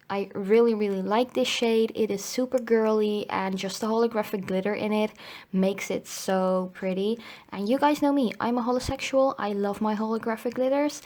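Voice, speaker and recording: A woman's voice: mid-range voice, a little mellow. A woman from the Netherlands giving a casual, chatty review voiceover, her voice slightly cracky from a cold coming on. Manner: chatty, informal, frequent hedging. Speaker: nail polish reviewer.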